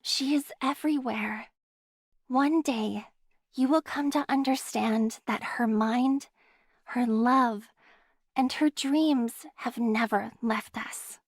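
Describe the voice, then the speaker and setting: A gentle, composed nurse from an anime game, high in pitch and unhurried. She keeps an aloof calm, recorded close and dry. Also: slightly rough, no echo, heavily acted. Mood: gentle, soothing.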